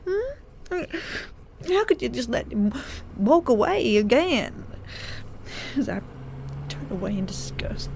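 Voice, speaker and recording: An actress playing an aggrieved, folksy frontier widow in a Western audio drama, scolding and pleading. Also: female voice, on the high side, noisy background, heavily acted.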